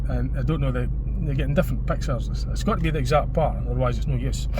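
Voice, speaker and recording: A man's voice: medium pitch, fast talker. A man chatting casually while driving his car. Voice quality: quiet voice. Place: inside a moving car. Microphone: camera phone in the car. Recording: distant, dull, loud engine noise. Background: loud engine and road noise.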